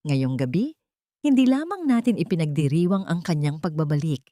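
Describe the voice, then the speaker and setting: A woman's voice: low-pitched, dark tone, no echo, clean studio sound. A woman voicing a wealthy, solemn older father with grave feeling in an audio story. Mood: solemn, moved. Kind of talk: audio story character dialogue.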